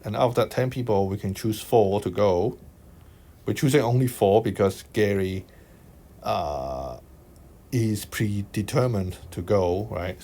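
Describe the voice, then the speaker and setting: A man from Hong Kong with a Hong Kong accent talks through a worked maths problem in a slow, deliberate way. His voice sits on the low side, and he thinks aloud, often asking "right?" to check the listener is following. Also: mellow. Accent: Hong Kong Cantonese. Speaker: maths tutor. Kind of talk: explaining a worked exam solution over screen recording. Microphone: voice-over on screen recording.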